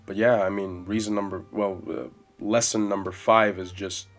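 A man in his twenties hosting a solo podcast, rambling casually and swearing freely in a loud voice with bass. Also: flat delivery, moderate pace. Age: 20s. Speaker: podcast host. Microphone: podcast mic. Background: soft jazz music.

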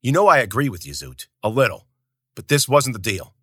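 A man's voice: mid-range voice, big pitch swings, brisk, sharp tone, husky, no echo, clean studio sound, heavily acted. A man voicing a weary, reluctant male soldier leader who speaks in a subdued way.

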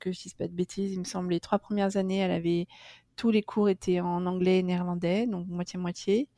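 A woman's voice: lowish voice, little pitch movement, fairly quick, dark tone, no echo, clean studio sound. A middle-aged French woman, a guest in a casual interview, talking chattily with many fillers and restarts.

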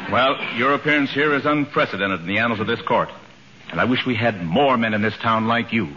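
An actor playing a courteous, authoritative judge with formal courtroom diction in a 1940s radio comedy. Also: male voice, medium pitch, brisk, lightly acted. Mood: impressed, gracious. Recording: noisy muffled 1940s radio recording.

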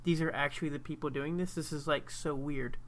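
A man on a casual podcast with a slightly raised pitch. He makes wry, joking remarks. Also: steady tone, a little fast. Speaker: podcast co-host.

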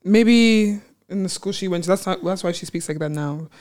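A young woman calmly explaining her view in a casual chat with her cousins. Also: lowish voice. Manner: measured, explanatory. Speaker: podcast guest, cousin.

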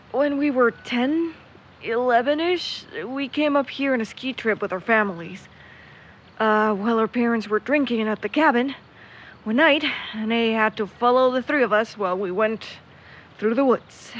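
A woman's voice: a little high, a bit bright. A young woman playing a stubborn, grumbling searcher in an audio drama, candid and wry as she thinks aloud. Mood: wistful, amused. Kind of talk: audio drama monologue into a recorder. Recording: muffled, noisy outdoor-style ambience.

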